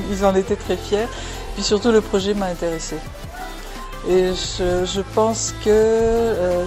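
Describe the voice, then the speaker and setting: An older woman, a grandmother, speaking candidly about why she attended. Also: medium pitch. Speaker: grandmother and event guest.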